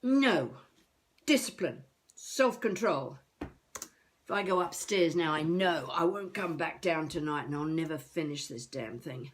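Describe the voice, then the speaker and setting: Elderly would-be novelist woman: unhurried, halting, wry, self-mocking video-diary monologue. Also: low voice, expressive. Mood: flustered. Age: older. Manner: musing, halting, talking to herself.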